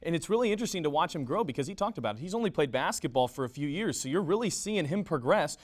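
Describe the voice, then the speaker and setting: A young man co-hosting a sports show in a TV studio, chatting rapidly about the team. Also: fairly high pitch, lively intonation. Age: young adult. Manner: rapid, conversational. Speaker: co-host.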